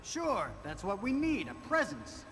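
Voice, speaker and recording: A man's voice: high voice, wildly swooping pitch, unhurried, fairly bright tone, faint music behind, heavily acted. An actor playing an irritable, penny-pinching male government official in a video game cutscene, brusque and dismissive. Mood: irritated, dismissive. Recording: clear vehicle-like background noise.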